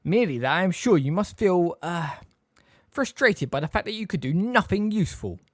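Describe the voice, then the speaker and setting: A narrator voicing a middle-aged male teacher in a storybook, smooth, sarcastic and waspish, with delicate stress on words. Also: medium pitch, very animated, normal speed, darkish tone, no room sound, clean studio sound, voice pushed up. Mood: waspish, taunting.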